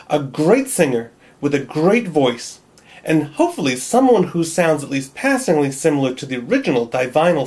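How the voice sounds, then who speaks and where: A man's voice: a little high, darkish tone. Nasal man narrating a wry tutorial. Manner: wry, joking. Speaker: video creator. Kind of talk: self-deprecating tutorial narration.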